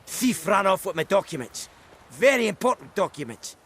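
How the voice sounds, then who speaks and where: A man's voice: sits high, sing-song, normal speed, fairly bright tone, slight background noise. An actor playing a wordy, down-on-his-luck travelling merchant in a video game, pleading in a theatrical manner.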